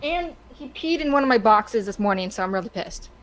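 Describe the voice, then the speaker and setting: A woman guest on a casual podcast, talking in halting, self-repeating phrases. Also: on the high side, lively intonation, rough recording.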